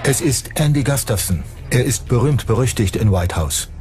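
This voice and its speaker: A man's voice: medium pitch, animated, normal speed. A man reading scripted true-crime documentary narration as the narrator. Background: music.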